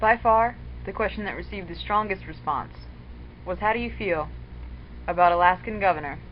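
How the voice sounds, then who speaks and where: A woman's voice: mid-range voice, normal speed, dull audio, a little hiss or hum. Woman narrating in a plain, wry tone. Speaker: interviewer and narrator. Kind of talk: scripted narration. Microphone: webcam microphone.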